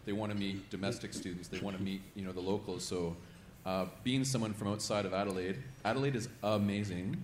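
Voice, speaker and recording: A man's voice: fairly low pitch, fairly even intonation, brisk, few pauses, roomy sound, noisy background. A man with a Canadian accent asks a panel a question in a chatty, self-deprecating way. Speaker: audience member asking a question. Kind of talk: casual spoken question at a panel.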